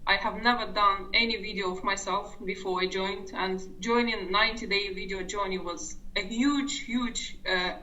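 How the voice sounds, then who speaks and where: A woman's voice: medium pitch, steady tone, moderate pace, few pauses, bright, forceful tone, distant mic, dull audio. A woman with a non-native English accent, sharing her personal story sincerely as an interview guest and often restarting her sentences.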